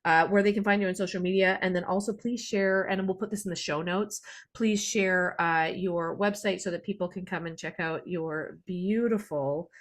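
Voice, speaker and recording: A woman's voice: fairly low pitch, steady tone, normal speed. A woman with a Canadian accent hosting a conversational podcast interview, speaking in an effusive, earnest way. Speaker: podcast host.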